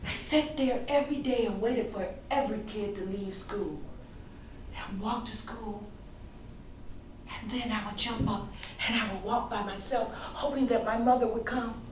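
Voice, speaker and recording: Casting: actress as grieving, yearning daughter in a stage monologue, high female voice, emotional and deliberate, recorded at a distance with faint noise. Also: lightly acted. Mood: hopeful. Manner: emotional, deliberate storytelling with dramatic pauses. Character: grieving grown daughter recalling her mother's death, wounded and yearning. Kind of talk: theatrical dramatic monologue. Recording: echoey room, distant mic, faint noise.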